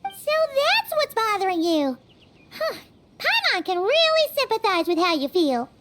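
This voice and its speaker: A woman voicing a small, excitable, childlike fairy companion in an anime game, squeaky, chatty and exclamatory. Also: a little slow, very noisy, full character voice. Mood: sympathetic, cheerful. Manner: speaks of herself in third person, exclamatory.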